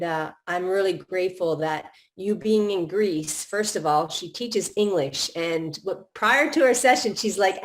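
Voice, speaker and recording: A middle-aged woman hosting a live podcast, chatting casually in long run-on thoughts with many restarts. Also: medium pitch. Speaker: podcast host.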